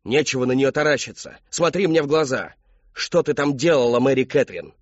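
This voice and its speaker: A man's voice: low-pitched, fairly even intonation, unhurried, darkish tone, no echo, lo-fi sound. Man acting a furious, barking, domineering father. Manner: barking, accusing, demanding. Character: furious, domineering middle-aged father interrogating his daughter.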